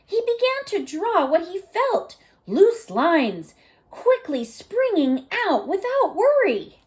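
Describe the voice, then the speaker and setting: A woman teacher reading a picture book aloud expressively, pausing to put gentle, thoughtful questions to her young listeners. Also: very high voice, very animated. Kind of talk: expressive children's read-aloud with asides to listeners.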